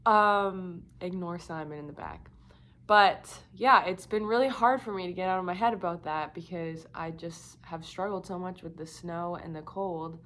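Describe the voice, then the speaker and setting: Young woman vlogger, croaky with a cold, low-set voice, rambling and candid, loose filler-heavy talk. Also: normal speed, a bit bright. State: croaky, slightly sick voice. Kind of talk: casual confessional talk to camera.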